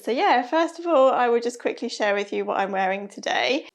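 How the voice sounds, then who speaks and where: Slightly hoarse woman with a gravelly edge. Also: a little high, expressive, fairly quick. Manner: chatty, friendly, with soft laughs. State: slightly hoarse and nasal from a cold. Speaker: sewing vlogger. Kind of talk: casual chat to camera. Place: bright room with a sewing machine and shelves. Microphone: lapel mic.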